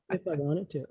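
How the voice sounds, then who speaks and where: A man's voice: a little high, dark tone, breathy edge. A man from Puerto Rico hosting a comedy podcast, asking questions and teasing with dry jokes. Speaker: podcast host. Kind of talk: casual comedy podcast chat.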